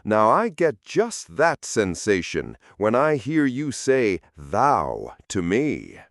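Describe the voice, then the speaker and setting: A man plays a living shadow who has become a pompous gentleman in a storybook tale. He boasts and condescends with hugely animated pitch, lingering over his words in a very dry, close recording. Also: takes it slowly, vocal fry, dry recording, heavily acted. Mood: patronizing, lordly. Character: smug, self-important living shadow turned wealthy gentleman.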